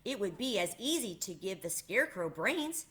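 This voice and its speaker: A woman's voice: medium pitch, sing-song, normal speed, a bit bright. A woman voicing an earnest, kind young girl in a storybook reading.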